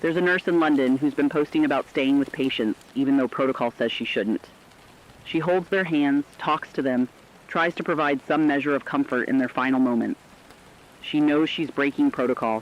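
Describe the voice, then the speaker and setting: A man's voice: medium pitch, normal speed, lo-fi sound, lightly acted. A man playing a concerned, compassionate radio co-host, giving scripted commentary in an earnest, emotive way. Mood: somber concern.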